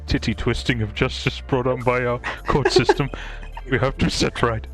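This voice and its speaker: A man's voice: mid-range voice, huge pitch swings, normal speed, a bit bright, soft background music. A man casually joking through a live group dub of a game, swearing and giggling as he goes. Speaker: streamer live-dubbing a video game.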